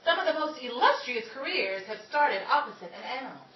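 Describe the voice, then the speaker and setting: A woman's voice: sits high, big pitch swings, fairly quick. A woman playing a brash, wisecracking young aspiring actress in a slangy, theatrical 1930s Hollywood comedy. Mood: chatty, hopeful. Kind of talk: 1930s screwball comedy stage acting. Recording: distant dull echoey recording, noisy audience.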